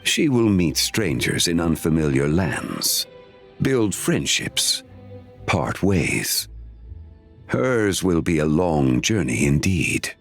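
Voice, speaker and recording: Wise game gentleman: deep creaky voice, slow formal phrasing, serene and philosophical, music underneath. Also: very animated, full character voice. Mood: serene, musing. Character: calm, wise, dignified gentleman speaking in aphorisms. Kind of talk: video game voice acting.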